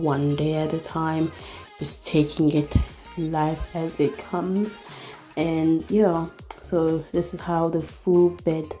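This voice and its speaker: A young woman vlogger chatting casually in a voice-over, easygoing and laughing often. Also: low-pitched. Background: soft background music.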